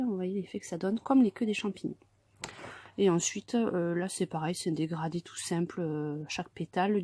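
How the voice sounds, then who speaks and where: A woman with a medium-pitched voice talks through a craft tutorial in a dry, echo-free recording. She sounds congested from a cold. Her delivery is informal and hesitant, in short murmured steps while her hands are busy. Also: mellow. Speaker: colouring tutorial host.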